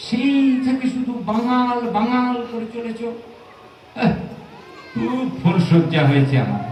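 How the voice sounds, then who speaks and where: A man's voice: extremely high pitch, a little mellow, full character voice. A man acting an irritable, indignant bridegroom in a comedy audio play, scolding in Bengali with touches of East Bengali dialect. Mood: exasperated. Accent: Bengali, with East Bengali dialect at times. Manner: exasperated, scolding, theatrical rhetorical questions. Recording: echoey room, distant, faint noise.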